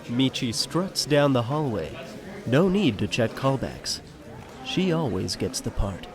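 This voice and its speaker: A man's voice: mid-range voice, very animated, slow, a crowd in the background. A man playing the wry, dramatic narrator of a scripted audio drama.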